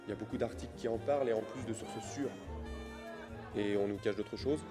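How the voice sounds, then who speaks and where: A man's voice: lowish voice, fairly quick, natural voice. An actor playing a weary, skeptical male office worker in an audio drama. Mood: astonished, doubtful. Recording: echoey reverb, music and crowd behind.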